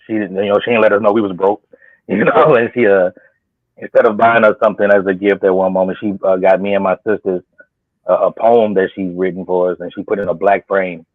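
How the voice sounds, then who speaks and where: A man's voice: sits low, steady tone, fairly quick, dry recording. A man guest poet talking in a laid-back, grateful way while telling his story. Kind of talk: casual interview talk. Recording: phone-like, dry line.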